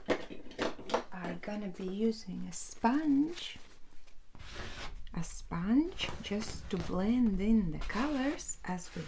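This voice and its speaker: A woman in her thirties speaking in a hushed, halting whisper as an ASMR host. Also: medium pitch, creaky, slight background noise. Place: indoor room at home with brick wall.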